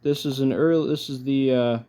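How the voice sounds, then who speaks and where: A man giving casual, low-key unboxing commentary as a collector, halting often as he thinks aloud. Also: steady tone, dark tone, smooth. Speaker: record collector presenting his haul.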